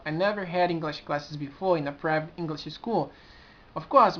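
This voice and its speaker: A man in his twenties with a Brazilian accent talks straight to the camera in an earnest, halting way, often restarting his sentences. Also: a little high, slight background noise. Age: 20s. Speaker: community administrator. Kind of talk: casual vlog to camera. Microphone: built-in camera mic. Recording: dull lo-fi camera audio.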